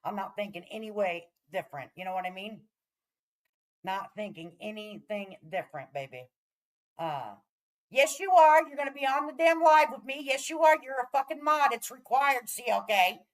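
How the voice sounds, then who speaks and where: A woman's voice: fairly high pitch, animated, moderate pace, poor audio. Brash, chatty woman livestreamer. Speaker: livestream host. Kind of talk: casual livestream chat with viewers.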